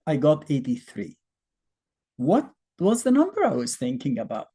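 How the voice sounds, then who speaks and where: Egyptian-accented man teaching math, husky and mellow. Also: fairly high pitch, huge pitch swings, normal speed. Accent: Egyptian Arabic. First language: Arabic. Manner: conversational, thinks aloud with fillers and rhetorical questions. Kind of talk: casual explanatory lesson.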